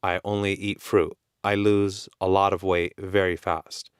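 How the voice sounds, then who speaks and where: A man with a Californian accent, explaining things slowly and in plain words as an English teacher, repeating his key points. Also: deep voice, little pitch movement, clean tone, no room sound, clean studio sound. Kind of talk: slow, simple explanation for learners.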